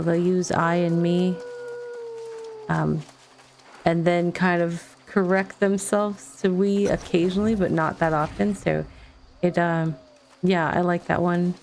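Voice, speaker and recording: A woman giving casual let's play commentary and chatting with viewers in a rambling, halting way. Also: fairly low pitch, darkish tone, slight background noise. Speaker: game streamer.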